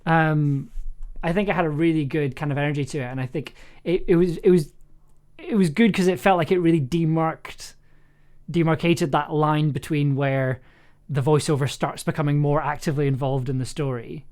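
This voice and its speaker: A man's voice: a little high, fairly even intonation, moderate pace, a little mellow. Hesitant, encouraging man giving direction. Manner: hesitant, encouraging, repeats phrases. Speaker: audio drama director and streamer. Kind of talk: casual directing feedback.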